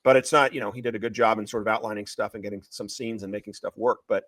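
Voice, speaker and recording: A man talking as an interviewed author and screenwriter, retelling a story in an excitable, emphatic, repetitive way. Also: fairly low pitch, fast talker, no echo, studio quality. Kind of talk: animated promotional interview talk, retelling a story.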